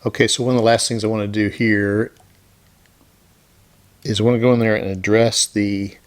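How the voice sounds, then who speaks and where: A man's voice: on the low side, little pitch movement, normal speed. Man narrating hands-on repair, noisy recording. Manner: talks through each step while working. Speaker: repair channel host. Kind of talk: casual repair demonstration.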